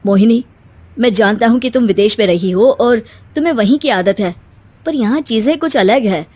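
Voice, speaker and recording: A woman's voice: fairly high pitch, normal speed, a little mellow, lightly acted. A woman voicing a reasonable but firm young husband in a cartoon story, earnest and reproving. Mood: patient.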